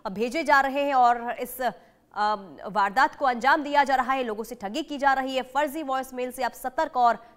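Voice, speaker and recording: High-pitched woman news anchor, urgent. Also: moderate pace, clear, resonant tone. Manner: urgent, cautioning, repetitive. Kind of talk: live breaking-news reporting, ad-libbed.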